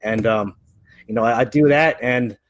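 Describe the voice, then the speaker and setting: A man's voice: medium pitch, normal speed, dry recording. A man co-hosting a live stream, speaking in a laid-back, plain way. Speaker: co-host. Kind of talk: casual live stream chat. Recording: dull lo-fi sound.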